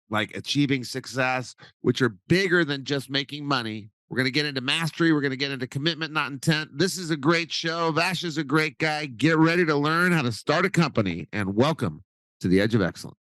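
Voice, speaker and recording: A man from the United States hosting a podcast interview, upbeat and joking, talking in quick bursts. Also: mid-range voice, a bit bright, no room sound. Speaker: podcast host.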